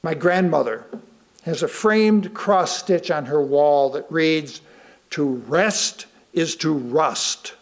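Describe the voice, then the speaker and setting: A man reading a sermon aloud to a congregation, deliberately, pausing between short phrases. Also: fairly high pitch. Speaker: guest speaker reading a sermon.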